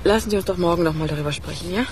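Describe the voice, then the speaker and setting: An actress playing a polite, preoccupied woman in a radio crime drama, hurried and evasive. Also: lowish voice, noisy background, natural voice. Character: polite, preoccupied woman putting off her neighbour.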